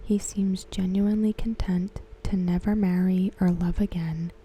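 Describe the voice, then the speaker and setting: A woman giving ASMR commentary in a soft, breathy whisper, hushed and measured. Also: on the low side, steady tone. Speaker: ASMR host reviewing a TV episode. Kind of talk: ASMR commentary, softly spoken.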